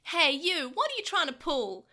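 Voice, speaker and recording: A bold young boy leader from a storybook, read by a woman. He is confident and teasing, brisk and a little bossy. His voice is bright and lively. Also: high voice, exaggerated voice. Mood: confronting.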